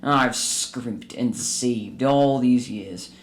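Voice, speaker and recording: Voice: mid-range voice, drawn-out words. An actor playing a bitter, miserly old businessman who grumbles and scolds curtly in a radio drama. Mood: wistful.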